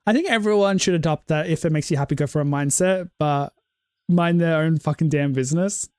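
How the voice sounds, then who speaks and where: A woman's voice: low voice, a little fast, darkish tone, clean tone, no room sound, studio quality. A woman game streamer on a casual livestream, ranting with passion, leaning on "like" and cutting herself off to restart. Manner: impassioned ranting, heavy use of "like"; self-interrupting restarts.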